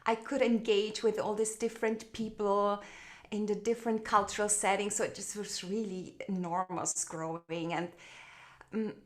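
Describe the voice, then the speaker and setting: Give me a middle-aged woman with an Austrian accent, telling her story in a reflective, halting way. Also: medium pitch, steady tone. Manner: warm, reflective, frequent fillers and restarts. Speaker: guest, branding expert. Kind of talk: casual conversational storytelling over video call.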